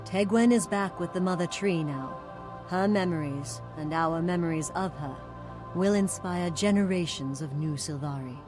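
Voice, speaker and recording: An actor playing a courteous, dryly wry plant-folk soldier in a video game. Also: male voice, fairly high pitch, normal speed, lightly acted. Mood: solemn. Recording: loud music behind.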